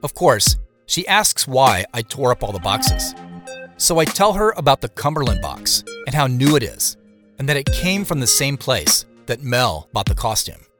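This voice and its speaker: An actor playing an earnest, cautious amateur sleuth in an audio drama, speaking in a measured, conversational way. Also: male voice, mid-range voice, big pitch swings, lightly acted. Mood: matter-of-fact. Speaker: lead character and narrator. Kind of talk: scripted audio drama, first-person narration and naturalistic phone dialogue. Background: background music.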